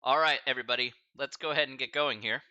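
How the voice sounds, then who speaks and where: A man's voice: medium pitch, steady tone, fast talker, bright, forceful tone, no echo, lo-fi sound. A man teaching a live-streamed math class in a casual, chatty way, full of fillers and slang asides. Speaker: math instructor.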